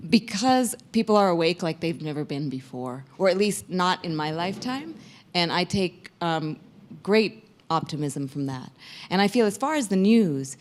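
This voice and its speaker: A woman from Sri Lanka speaks on a town hall panel with a somewhat low voice. She talks reflectively and often hedges with "I feel" as she builds her points. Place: public hall.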